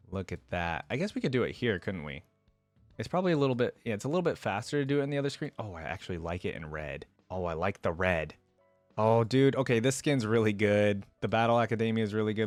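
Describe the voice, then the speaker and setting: A man's voice: fairly low pitch, no echo. A man giving casual, unscripted reaction commentary as a video host, thinking aloud informally with frequent exclamations.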